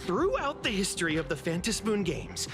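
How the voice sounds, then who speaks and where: Eager, polished game interviewer: very animated delivery over clear background music. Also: mid-range voice, normal speed. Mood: eager, professional. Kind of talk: anime game acting as TV interview.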